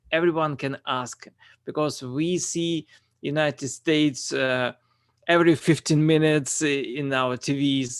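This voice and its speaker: Slow, halting male online host. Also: fairly high pitch, clear, resonant tone, no echo, studio quality. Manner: halting, hesitant phrasing. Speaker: conference host and moderator. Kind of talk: moderating an online panel. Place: online video call.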